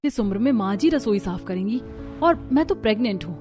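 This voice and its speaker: A woman's voice: mid-range voice, animated, a little fast, warm tone, soft background music. Woman voicing Hindi cartoon characters. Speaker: voice actor for several female characters.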